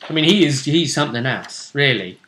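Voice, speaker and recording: A man's voice: fairly high pitch, lively intonation, a little fast, heavy background noise. A man hosting a role-play vidcast, talking casually through previews of upcoming matches.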